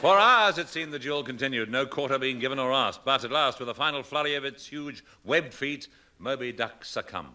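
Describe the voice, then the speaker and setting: A man's voice: mid-range voice, lively intonation, brisk, few pauses, lightly acted. An actor playing an old sailor who recounts his sea chronicle mock-solemnly in a 1960s radio comedy. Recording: very noisy 1960s radio broadcast.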